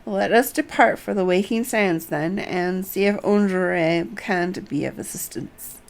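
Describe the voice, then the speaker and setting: A woman voicing an earnest, polite young nobleman from a fantasy game in formal, measured phrases. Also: fairly low pitch.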